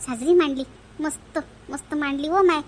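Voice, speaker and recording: Woman doing super high squeaky cartoon village lady, teasing n folksy. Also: normal speed, a little hiss or hum, natural voice. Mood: chatty. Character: teasing, chatty village women of a family. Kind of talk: comedy cartoon dialogue.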